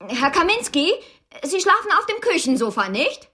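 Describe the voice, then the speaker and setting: An actress playing a brisk, slightly flustered mother of two girls in a naturalistic German radio drama. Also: very high voice, very animated, sharp tone, heavily acted. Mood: flustered, motherly.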